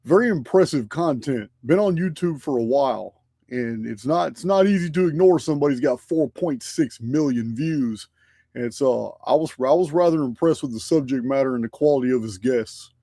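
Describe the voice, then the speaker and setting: A man's voice: medium pitch, moderate pace, dark tone, no room sound, studio quality. A man hosting a live online show, chatting casually and often restarting his sentences. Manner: rambling, repeats and restarts phrases. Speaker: podcast host. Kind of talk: casual live-stream chat. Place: home studio.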